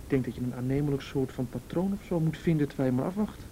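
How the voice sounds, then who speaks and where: A man acts a brooding, quietly determined saboteur with a Netherlands Dutch accent. His voice is dark and relaxed at a middling pitch, with a reflective, dry irony. The radio drama recording has faint noise and rumble. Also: fast talker, close to an everyday voice, poor audio. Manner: reflective, dryly ironic. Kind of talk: intimate radio drama acting.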